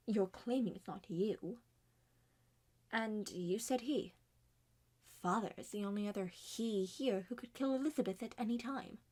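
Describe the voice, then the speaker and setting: A woman's voice: medium pitch, measured pace, a little mellow. A woman acting out several characters in an ASMR roleplay. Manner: switches between several acted characters. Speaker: amateur voice performer.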